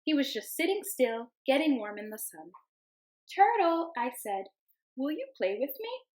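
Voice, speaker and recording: A young woman teacher reads a picture book aloud for her class, gentle and unhurried. Her voice sits a little high. When the child in the story calls to the animals, she lifts into a bright sing-song. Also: sing-song.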